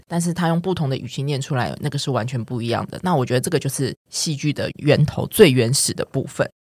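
A woman's voice: rumbling low, a little mellow, slightly rough, dry recording. Woman explaining in Taiwanese Mandarin, dry close sound. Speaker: curator and editor, podcast guest. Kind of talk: conversational interview answers.

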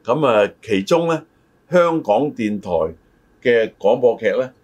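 A man's voice: medium pitch, animated, a little slow. An older man, hosting a casual conversation in an explanatory way, readily interjecting. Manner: explanatory, often interjects to correct his partner. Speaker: talk show host.

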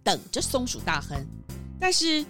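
A woman narrates a children's detective story in a high voice with lively, varied intonation. Soft music plays along with her scripted telling. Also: moderate pace.

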